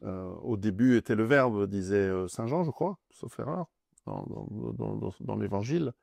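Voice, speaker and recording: A man speaks with a low voice, gravelly at the edges, and an Italian accent. He is a native Italian speaker talking as a guest in a studio conversation, thinking aloud with many restarts. The sound is close and dry. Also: darkish tone, no echo. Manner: thoughtful, halting, many restarts and fillers. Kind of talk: conversational discussion.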